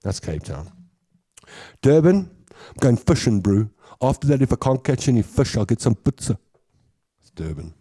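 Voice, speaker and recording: A man's voice: mid-range voice, sing-song, moderate pace, pauses often, mellow, slightly rough. A man from South Africa with a South African accent, hosting a show with a chatty, rambling comic monologue and laughing at his own stories. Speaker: host.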